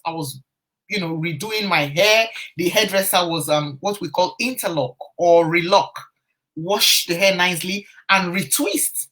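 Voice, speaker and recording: A woman's voice: medium pitch, a bit bright, poor audio. Chatty woman coach live-streaming. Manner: chatty, greets viewers by name, frequent restarts. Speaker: confidence coach. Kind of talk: casual live-stream storytelling. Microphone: phone or webcam on a live stream.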